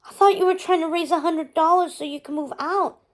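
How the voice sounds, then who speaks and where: A woman's voice: extremely high pitch, fairly even intonation, a little fast, voice pushed up. A woman voicing a hurt young stepsister in a storybook, plaintive and wavering. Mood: hurt, tearful.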